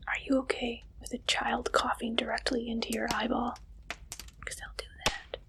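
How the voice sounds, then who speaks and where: A woman's voice: a little high, level tone, moderate pace. Whispering woman in an ASMR roleplay. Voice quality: soft, breathy whisper. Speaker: ASMR roleplayer playing an interviewer.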